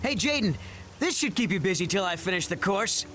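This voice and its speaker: Casting: keen young trainee knight for a game, high-pitched and very animated, brash yet easily flustered. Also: male voice, a little fast, noisy background. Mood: cocky. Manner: excitable, stammering when flustered. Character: eager, brash, nervous young male Jedi apprentice. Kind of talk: scripted video game voice acting. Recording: music behind the voice.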